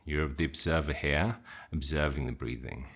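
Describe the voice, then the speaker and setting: A man leading an unscripted guided meditation, giving simple instructions in an informal, gently hesitant way. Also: a bass voice, moderate pace, breathy edge.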